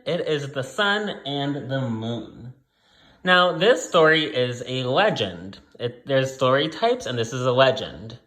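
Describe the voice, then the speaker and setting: A man's voice: on the high side, fairly bright tone, roomy sound. A man reading a story aloud to young children as their teacher, explaining things simply and repeating key points.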